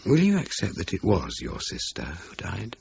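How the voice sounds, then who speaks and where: An actor playing a middle-aged British police inspector in a radio crime drama, asking thorough, polite questions with thoughtful grunts. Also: male voice, low-pitched, wildly swooping pitch, moderate pace, gravelly, darkish tone, full character voice. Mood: polite, probing. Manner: questioning, hesitant musing with grunts. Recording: dull lo-fi radio recording, faint noise.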